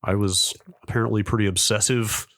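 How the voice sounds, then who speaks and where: Middle-aged American man, fairly low voice, dry recording, hedging, wry, conversational podcast delivery. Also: steady tone, brisk, a bit bright, no room sound. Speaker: podcast co-host. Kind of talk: casual tech nostalgia chat.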